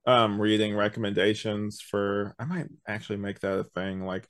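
Low-voiced man chatting on a podcast. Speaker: podcast host. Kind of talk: casual review chat.

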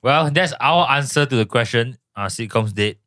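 A young man with a Malaysian English accent, co-hosting a podcast in relaxed chat and wrapping up the episode. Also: mid-range voice, normal speed, no room sound, clean studio sound. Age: young adult. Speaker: podcast co-host. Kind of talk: casual chat.

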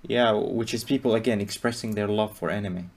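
A man's voice: on the low side, steady tone, fairly quick, a little mellow. A man hosting a casual chat, asking long rambling questions full of fillers. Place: Qatar.

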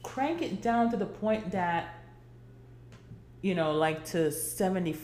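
A woman's voice: fairly low pitch, animated, slow pace, darkish tone, reverberant, a little hiss or hum. A woman in her forties hosting a casual solo podcast, chatty and rambling, with many fillers and restarts. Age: 40s. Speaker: podcast host.

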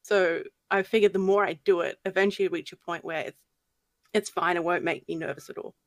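A woman with a slight lisp and a fairly deep voice, chatting hesitantly as a podcast guest. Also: fairly even intonation, brisk, dry recording. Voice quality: voice on the deeper end for a woman. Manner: soft-spoken, hesitant with frequent ums. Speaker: guest narrator. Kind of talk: casual podcast chat.

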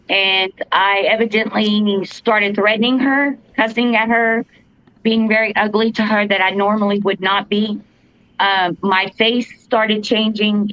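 A woman with a fairly deep voice tells her story earnestly, stopping and restarting, with plenty of fillers along the way. The recording is dull and lo-fi, and it sounds very dry, with no room echo. Her pitch sits in the middle for a woman. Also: a bit bright. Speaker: podcast co-host, paranormal investigator. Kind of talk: casual storytelling. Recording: dull lo-fi podcast audio, dry.

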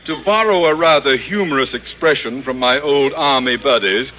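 An actor playing a pompous, self-serving middle-aged school principal in 1950s radio comedy style. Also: male voice, mid-range voice, normal speed, natural voice. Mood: pompous, commanding. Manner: pompous, fawning to superiors, overbearing to subordinates. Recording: muffled, noisy old radio recording.

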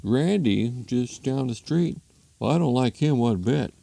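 A man's voice: mid-range voice, expressive, a little slow, vocal fry, mellow, slight background noise, voice pushed up. A man voicing a puzzled, scheming husband in a comic story, conversational and mildly exasperated.

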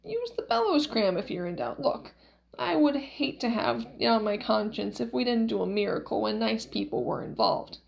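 A woman's voice: a little high, very animated, moderate pace, vocal fry. A woman voicing a shrewd, pushy old wife who nags and urges in an audiobook story. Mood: pushy, persuading. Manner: urging, nagging.

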